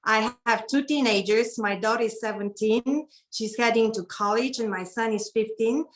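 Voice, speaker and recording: A middle-aged woman with a Bulgarian accent introduces herself as a guest speaker on a video call, in a slightly halting way. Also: on the high side. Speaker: guest keynote speaker and etiquette consultant. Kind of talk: informal self-introduction speech.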